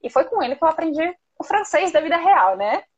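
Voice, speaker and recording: A woman with a Brazilian Portuguese accent speaking as a guest in a live online interview, telling personal stories in a talkative, expressive, conversational way. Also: very high voice, fast talker, highs cut off. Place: remote video call.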